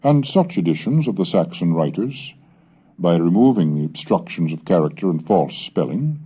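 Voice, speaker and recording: A man giving a formal, measured scholarly reading, reciting old verses slowly and carefully, on a dull 1970s cassette recording. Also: low voice, sing-song, mellow, breathy edge. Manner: measured, deliberate, slows and lifts for the old tongue. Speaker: scholarly reader.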